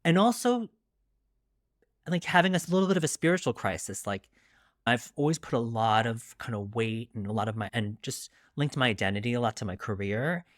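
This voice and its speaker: A man's voice: mid-range voice, animated, fairly quick, dry recording, clean studio sound. A man in his late forties answering interview questions conversationally as a debut novelist, reflective and a little self-deprecating.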